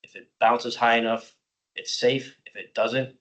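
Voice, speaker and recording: A middle-aged man, slightly hoarse, presenting a parks budget plan at a public commission meeting, explaining informally with many "uh" fillers. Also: medium pitch, level tone, a little slow, fairly bright tone. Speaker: county parks department staff presenter.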